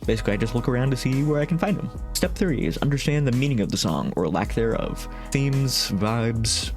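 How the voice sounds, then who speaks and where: A man's voice: medium pitch, lively intonation, brisk, faint music behind. A man chatting casually through a fan FAQ about making song covers, rambling and self-deprecating, with lots of fillers, restarts and little laughs. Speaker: vocal synth song cover creator. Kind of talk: casual FAQ chat to camera.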